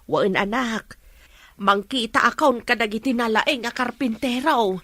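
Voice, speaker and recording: A woman's voice: a little high, a little slow, fairly bright tone, dry recording. Outspoken Ilocano mother, very dry sound. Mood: excited, fond. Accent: Filipino, Ilocano. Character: outspoken, caring middle-aged mother, quick to scold. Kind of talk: radio drama acting.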